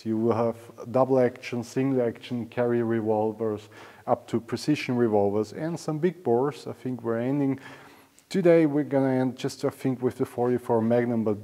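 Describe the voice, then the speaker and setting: A man's voice: medium pitch, mellow. Man presenting in a reverberant hall. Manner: enthusiastic, informal, non-native English phrasing. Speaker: auction house presenter. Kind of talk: semi-scripted promotional explainer to camera. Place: large indoor auction hall and storage room.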